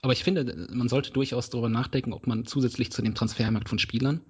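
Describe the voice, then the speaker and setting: A man's voice: medium pitch, steady tone, fast, a little mellow, husky. A man co-hosting a casual chat podcast who tells jokey anecdotes about football mascots. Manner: joking, storytelling. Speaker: podcast co-host.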